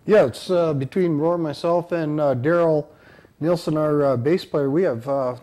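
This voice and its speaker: A man in his fifties or sixties from central North Dakota, answering casually in an interview and often pausing mid-phrase. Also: on the high side, mellow. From central North Dakota, United States. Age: 50s to 60s. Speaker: band member being interviewed.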